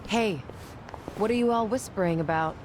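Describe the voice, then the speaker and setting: A woman's voice: medium pitch, moderate pace, darkish tone, a little hiss or hum, close to an everyday voice. An actress playing a poised, mysterious and alluring woman in a video game dub, self-assured, coy and teasing. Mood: composed. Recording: music behind dialogue.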